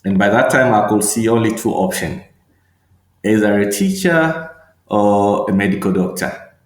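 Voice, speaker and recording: A middle-aged man from Tanzania speaks in a low voice, taking his time and searching for words between fillers. He is answering interview questions, and his voice rings in an echoey room. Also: lively intonation. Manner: slow and thoughtful, with frequent fillers and repeated words. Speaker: guest, regional manager and medical doctor.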